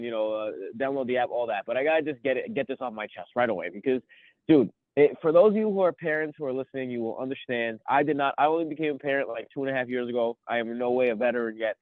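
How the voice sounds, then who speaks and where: A man in his thirties with a cold, chatting as a podcast co-host and rambling excitedly through his stories. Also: mid-range voice, fast, dry recording. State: sniffly from a cold. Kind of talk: casual chat. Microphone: phone. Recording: tinny phone line.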